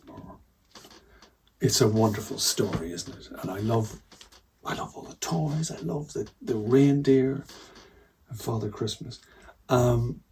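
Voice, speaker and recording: A man reading aloud to children as a storyteller, with a husky, breathy voice and expressive, dramatic emphasis that drops into hushed asides. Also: on the low side, noisy background. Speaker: author-illustrator reading own picture book.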